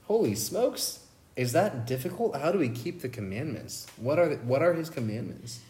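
A man's voice: on the high side, very animated, moderate pace, a little mellow. A man co-hosting a casual Bible study podcast, thoughtful and enthusiastic, often restarting his phrases. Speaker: podcast co-host.

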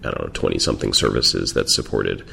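A male podcast host with an extremely deep voice and heavy gravelly fry. He asks his questions in an easy, chatty way. A faint hiss and a low rumble sit behind him. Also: fast. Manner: relaxed, conversational questioning. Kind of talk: casual interview.

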